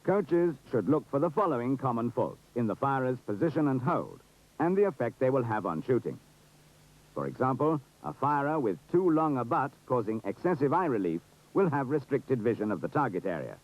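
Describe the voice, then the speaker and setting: A man's voice: medium pitch, sing-song, normal speed. A British man giving formal, precise, authoritative scripted narration for an instructional film. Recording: dull, muffled 1970s film soundtrack.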